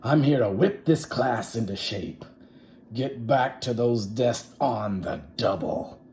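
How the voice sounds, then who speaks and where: A man's voice: mid-range voice, expressive, slow, darkish tone. A man voicing a stern, menacing witch of a schoolteacher, a woman, with a scratchy, commanding delivery for a children's storybook. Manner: bossy, commanding.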